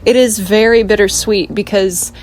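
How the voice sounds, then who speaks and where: A woman with a voice of average pitch speaks casually. She gives a reflective testimonial in an informal, personal way. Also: fairly even intonation, normal speed, a little mellow.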